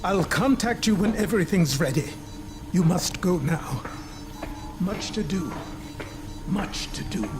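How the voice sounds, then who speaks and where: An actor playing an elderly, courteous, excitable scientist in a video game, with formal, fussy speech. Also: male voice, sits high, measured pace, long pauses, over music. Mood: grateful, busy.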